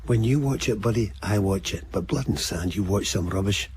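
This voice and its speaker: A man's voice: on the low side, normal speed, darkish tone, natural voice. A male actor plays a man's cheeky inner voice for a radio drama. He banters and needles like an over-familiar companion, dry and sardonic. A faint layer of noise sits behind him. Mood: wry teasing.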